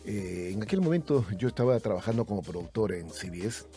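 A man's voice: on the low side, expressive, fairly quick, dark tone, faint music behind. A man interviewing a guest, asking questions and telling a personal anecdote in a conversational way. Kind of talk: interview on air.

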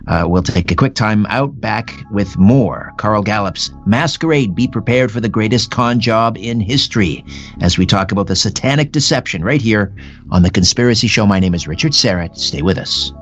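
A male radio host with a fairly low voice speaks over a soft music bed. The sound is slightly dull, and he talks like a broadcaster leading into a break. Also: normal speed. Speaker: radio talk show host. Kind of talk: radio hosting, segue to a break.

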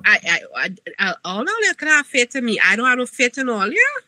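A woman's voice: on the high side, sing-song, brisk, sharp tone, no room sound. A woman with a Trinidadian accent co-hosting a casual talk show, sassy and joking. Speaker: co-host. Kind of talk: casual chat.

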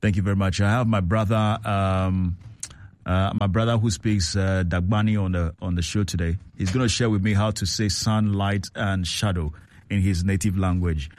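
Deep-voiced radio host. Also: male voice, normal speed. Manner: relaxed, repeats callers' words back. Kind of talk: call-in radio chat.

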